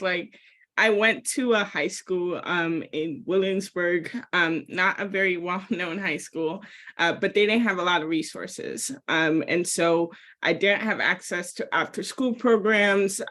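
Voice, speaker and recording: A woman's voice: lowish voice, unhurried, a bit bright. A young woman, a college graduate, speaking as a webinar panelist over a video call, chatty and full of fillers, with light laughs. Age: young adult.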